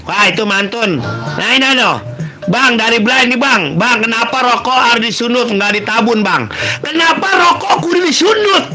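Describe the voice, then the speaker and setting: A man with a Betawi Jakarta accent hosts a joking call-in style show, reading out silly questions and pantun and mocking them with loud bursts. His voice sits high and is a little sniffly, and music plays clearly behind him. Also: expressive, moderate pace. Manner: banters, teases listeners, breaks into loud bursts. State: runny nose, sniffly. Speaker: comedic radio-style host reading listener questions.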